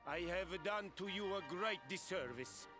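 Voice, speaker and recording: A man's voice: sits high, lively intonation, measured pace, bright, forceful tone, soft background music, full character voice. A man voicing a grateful, dramatic merchant father in a video game, with an Italian accent and courtly, broken English. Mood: earnest, apologetic.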